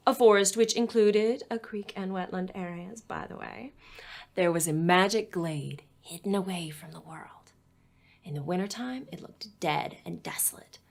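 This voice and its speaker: A young woman playing a cheerful, patient tutor in a web series, upbeat and playfully teasing, acted naturalistically. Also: medium pitch.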